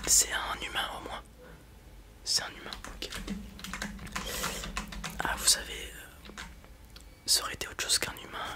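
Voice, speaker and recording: A man playing a blunt, casual space robot merchant in a whispered ASMR roleplay, muttering hesitantly in a breathy voice. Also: lightly acted. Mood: blunt, world-weary. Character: gruff, casual male space robot merchant, wary but kind.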